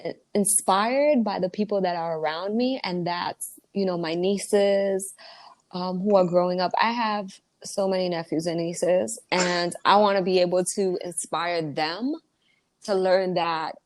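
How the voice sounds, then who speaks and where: A woman's voice: lowish voice, fairly even intonation, measured pace, a little mellow. A woman from the Dominican Republic, an author and entrepreneur guest, speaking earnestly and with motivational emphasis in casual interview talk.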